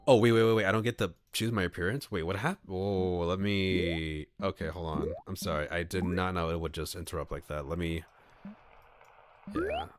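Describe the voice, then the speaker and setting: A man's voice: low voice, very animated, moderate pace, clean tone, dry recording, soft background music. A man streaming a game, reading his choices aloud with chatty comments and frequent laughs.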